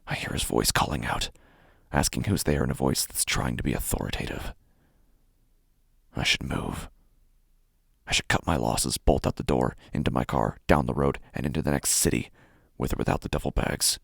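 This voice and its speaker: Casting: male actor as a cold, violent burglar narrating horror audio drama; extremely low, heavy vocal fry, intense and deliberate. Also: a bit bright, no room sound, studio quality, heavily acted. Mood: tense, frozen. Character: cold obsessive burglar turning savagely violent.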